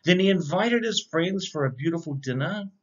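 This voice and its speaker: A middle-aged man reading a rhyming children's picture book aloud in an expressive, measured storytelling manner. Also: on the high side. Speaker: storybook reader, educator. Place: indoor room. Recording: slightly dull, lo-fi webcam sound.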